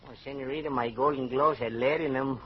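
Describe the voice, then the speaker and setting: An actor playing a genial, hapless Mexican ex-boxer with a Mexican accent, in the polite deadpan style of old radio comedy. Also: male voice, mid-range voice, brisk, natural voice. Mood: deadpan joking. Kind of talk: 1940s-50s radio comedy acting. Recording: noisy, muffled vintage radio recording.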